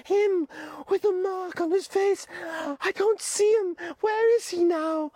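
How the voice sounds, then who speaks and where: A woman doing a shrill indignant schoolboy. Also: slow, warm tone, no echo, studio quality. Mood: frightened. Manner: whiny, protesting. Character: indignant anxious schoolboy with glasses.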